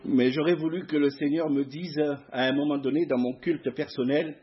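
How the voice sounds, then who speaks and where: A middle-aged man with a southern French accent, a guest preacher giving a sermon in church, hesitant with many pauses. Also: a little high, steady tone, highs cut off. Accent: southern French (Provence). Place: church hall before a masked congregation.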